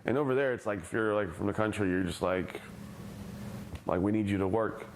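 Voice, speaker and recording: A man's voice: on the low side, a little fast, a little hiss or hum, rough recording. A man in his thirties with an American accent, chatting casually in an oral history interview and filling his speech with "like". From Bosnia, raised in the United States. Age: 30s. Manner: casual, heavy use of "like", trailing off.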